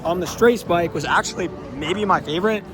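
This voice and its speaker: A young man with a strained, hoarse voice hosting a casual vlog outdoors at an amusement park, chatting excitedly with lots of ums and likes. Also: high voice, a little fast. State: losing his voice, hoarse. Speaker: vlogger host.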